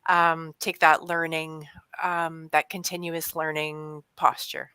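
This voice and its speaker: Bright, thoughtful woman on a panel. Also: sits low, flat delivery, slow, clean tone, no room sound. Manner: thoughtful, many restarts and hesitations. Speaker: panelist, HR director. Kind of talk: reflective storytelling on a panel.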